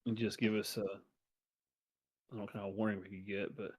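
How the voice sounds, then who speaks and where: A man playing a tabletop game, hesitantly talking through a plan with restarts and pauses. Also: fairly low pitch, little pitch movement, husky, highs cut off. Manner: hesitant, thinking out loud with many restarts. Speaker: player. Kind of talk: planning aloud in a tabletop game.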